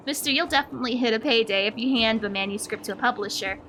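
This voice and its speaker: A woman's voice: on the high side, fast, bright, forceful tone, a little hiss or hum, low-quality recording. A woman voicing an eager young child from a video game, chirpy and pleading. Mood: eager, cheerful. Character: eager young child who loves storybooks.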